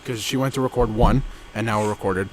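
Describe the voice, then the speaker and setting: A man's voice: fairly low pitch, fairly even intonation, quick pace, a little hiss or hum, low-quality recording. A man in his twenties co-hosting a casual podcast, rambling with many fillers and restarts, recorded outdoors under a backyard gazebo. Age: 20s. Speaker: podcast co-host. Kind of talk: casual chat.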